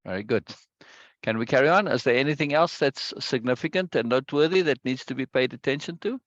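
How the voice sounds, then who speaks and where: A man hosting a casual video-call chat, talkative and quick to repeat himself and laugh. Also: medium pitch, a bit bright, no echo, highs cut off. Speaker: meeting host.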